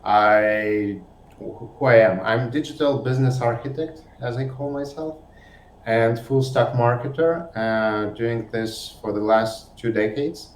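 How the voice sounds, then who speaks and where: Slow-spoken man from Lithuania. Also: mid-range voice. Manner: slow, halting, plain. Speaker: webinar participant. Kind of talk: self-introduction on a video call. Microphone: video call. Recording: echoey room.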